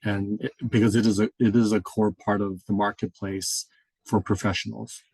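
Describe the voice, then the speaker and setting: A man's voice: on the low side, little pitch movement, normal speed, a little mellow, slightly rough, no room sound. A middle-aged American man from Hawaii giving an informal lecture as an instructor, explaining things and often checking in with a short "right?". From Hawaii, United States. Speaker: instructor, experienced options trader. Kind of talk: informal classroom-style lecture with slides.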